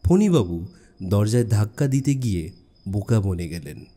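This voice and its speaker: A man narrating a scripted suspense story in a measured, dramatic way with deliberate pauses. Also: fairly low pitch, animated, mellow. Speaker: audiobook narrator.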